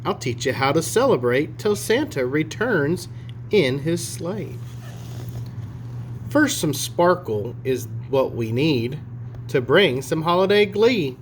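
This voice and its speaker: Man voicing an excitable little elf, high-pitched. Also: slow pace, slight background noise. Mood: indignant, eager. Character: excitable little male Christmas elf, hurt and indignant.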